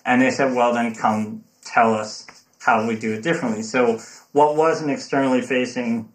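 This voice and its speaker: Animated man explaining, "look", "right?". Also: mid-range voice, moderate pace, rough recording. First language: English. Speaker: guest, technology consultant. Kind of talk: conversational interview.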